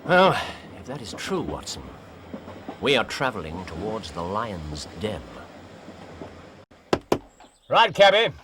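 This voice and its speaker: An actor playing a shrewd, confident Victorian detective in a period drama, with an English accent and a theatrical, incisive, deliberate delivery. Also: male voice, on the low side, wildly swooping pitch, full character voice. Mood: brisk, assured. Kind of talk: period radio drama dialogue. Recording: clearly noisy, ambient background.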